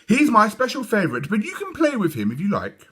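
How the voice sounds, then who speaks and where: Man acting a little girl, squealing high pitch, swooping intonation, gushing, disdainful, storybook character. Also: wildly swooping pitch, a little fast, fairly bright tone, husky, heavily acted. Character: prissy, squealing little girl with a toy pony.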